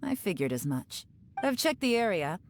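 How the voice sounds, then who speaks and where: A woman playing a cool, teasing, secretive investigator in a video game, measured and sly. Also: mid-range voice, sing-song, faint music behind, natural voice. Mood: coolly businesslike.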